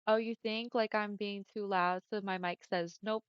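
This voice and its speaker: A young woman streamer chatting casually with her viewers, friendly and often laughing, with a smooth voice on the deeper side for a woman. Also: steady tone, moderate pace, no room sound. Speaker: livestreamer. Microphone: headset mic. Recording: dull lo-fi headset mic.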